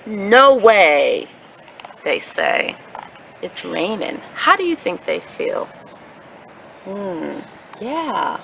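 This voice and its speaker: A woman in her thirties reading a picture book expressively to children outdoors, addressing young listeners with questions in an animated, enunciated way. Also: mid-range voice, creaky, poor audio. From Haitian American. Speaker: children's story reader, host. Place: outdoors in a leafy park. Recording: steady outdoor ambient noise with insects. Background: insects, birds, outdoor ambience.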